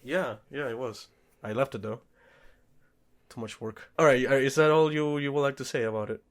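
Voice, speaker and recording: A man's voice: mid-range voice, normal speed. A man co-hosting a podcast, talking casually about movies in an informal, sweary, self-correcting way. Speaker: podcast co-host. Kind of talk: casual movie review chat.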